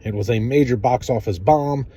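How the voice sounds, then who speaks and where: A man's voice: mid-range voice, moderate pace, mellow, slight background noise. A man narrating film summaries, reading a scripted synopsis aloud.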